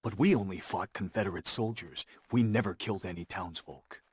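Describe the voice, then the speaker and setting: An actor playing an earnest, principled young war veteran of Lakota descent, measured and sincere, in a video game cutscene. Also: male voice, low voice, a little mellow, husky, no echo. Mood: troubled.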